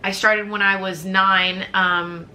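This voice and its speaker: A woman's voice: mid-range voice, level tone, slow pace, bright, forceful tone. An American woman in her twenties from the Chicago suburbs, chatting casually to camera in a rambling, upbeat vlog with lots of fillers. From Chicago suburbs, USA. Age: 20s. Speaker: vlogger.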